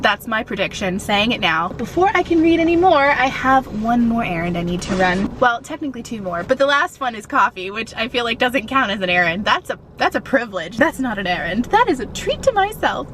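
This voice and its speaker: A young woman chatting casually as a book vlogger, expressive and a little self-deprecating. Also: on the high side, fairly quick, hardly any pauses, a little hiss or hum. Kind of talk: casual vlog chat.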